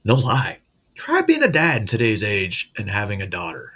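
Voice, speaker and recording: Gruff profane middle-aged man. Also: on the low side, wildly swooping pitch, normal speed, sharp tone, dull audio. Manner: blunt, profane, joking. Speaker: host. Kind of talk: casual monologue.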